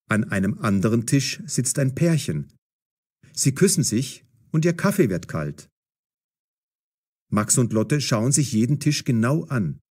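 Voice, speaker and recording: Expressive male storyteller, animated intonation. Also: mid-range voice. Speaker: narrator. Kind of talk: scripted story narration for language learners.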